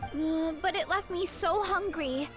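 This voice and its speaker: A woman's voice: very high voice, measured pace, soft background music. A woman voicing a sweet, eager, polite young girl athlete in a video game. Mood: earnest. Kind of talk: English video game voice acting.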